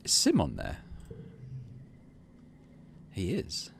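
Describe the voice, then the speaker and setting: A man commentates a story game playthrough in a low voice. His intonation is animated and conversational, shifting between reading aloud and musing, with faint noise behind him. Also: very slow, vocal fry. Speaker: gaming video host. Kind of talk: casual playthrough commentary and narration.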